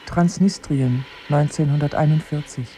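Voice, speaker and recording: Male poetry reader, soft dark timbre, drawn-out sparse delivery, faint background noise. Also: on the high side, fairly even intonation. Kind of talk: very slow, sparse poetry recitation.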